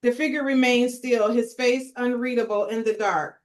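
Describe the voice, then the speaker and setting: A woman's voice: on the high side, fairly even intonation, normal speed. A woman narrating screenplay stage directions as she leads a table read.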